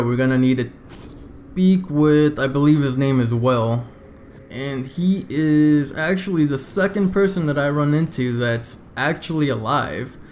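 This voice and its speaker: A man's voice: mid-range voice, a little hiss or hum. A man narrating a video game walkthrough in an informal, easygoing, explanatory way. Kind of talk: casual game walkthrough commentary.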